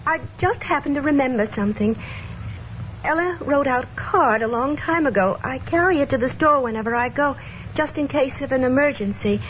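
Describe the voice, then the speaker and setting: A woman's voice: sits high, animated, moderate pace. A woman playing a frail, forgetful elderly spinster in an old-time radio drama, speaking in a hesitant, faltering way. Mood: hesitant, confused. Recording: dull, noisy old radio recording.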